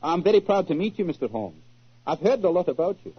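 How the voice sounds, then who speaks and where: An actor playing a polite, eager young aristocrat in a 1940s radio drama, heard on an old lo-fi recording. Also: male voice, high-pitched, sing-song, quick pace, breathy edge, heavily acted. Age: young adult.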